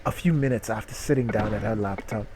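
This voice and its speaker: A man's voice: lowish voice, fast talker, background noise. A man hosting a comic dramatic reading of a short story, with mock-serious narration that can break into incredulous outbursts. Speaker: host reading stories.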